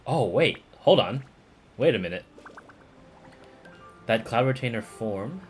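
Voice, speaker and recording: A man's voice: medium pitch, expressive, slow, faint music behind. A man giving casual live gameplay commentary as a streamer. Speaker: game streamer.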